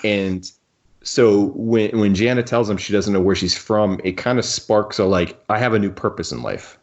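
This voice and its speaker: A man with a fairly low voice co-hosts a podcast on a slightly dull, lo-fi recording. He discusses films and books casually and conversationally, slipping imagined quotes into his talk. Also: normal speed. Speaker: podcast co-host. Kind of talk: casual film and book discussion.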